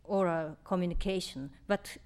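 A woman in her fifties from Japan with a Japanese accent, answering audience questions in English at a reading, halting and searching for words, on a stand mic. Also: on the low side, darkish tone, clean tone. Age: 50s. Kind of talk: live Q&A at a reading. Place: lecture room on stage. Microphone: stand mic in front.

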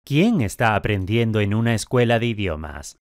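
A man speaking clearly articulated drill questions and statements in a language course. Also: on the low side, lively intonation, moderate pace, no room sound, studio quality.

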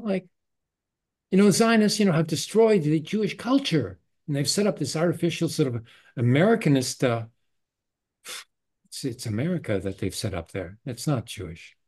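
An older man, in his sixties or beyond, talking politics in a halting, conversational way. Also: a little high, expressive, a little mellow. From Canada. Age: 60s or older. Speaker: forum host. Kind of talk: casual political discussion. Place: indoor room, video call.

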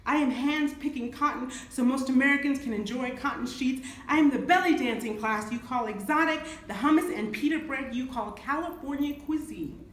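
A woman's voice: high voice, normal speed, hardly any pauses, slight background noise. A woman in her thirties from Nigeria performing a spoken word poem at a lectern, rhythmic and emphatic, recorded from a camera across a small room. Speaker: poet. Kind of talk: spoken word poetry reading. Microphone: far camera. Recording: echoey room.

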